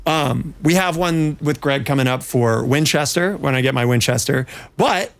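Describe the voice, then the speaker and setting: A man's voice: mid-range voice, moderate pace, vocal fry. A man hosting a casual livestream, chatting in a playful, rambling way. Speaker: pinball stream host.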